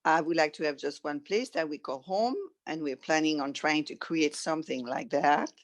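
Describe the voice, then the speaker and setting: A woman's voice: low voice, normal speed. A middle-aged woman from France with a French accent, reading a written personal story aloud as a guest.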